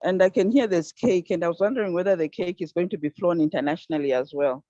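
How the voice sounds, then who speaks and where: A woman's voice: lowish voice, quick pace, warm tone, dry recording. Older Zambian woman, earnest video call. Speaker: panel speaker. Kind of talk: online conference talk. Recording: dull dry video call audio.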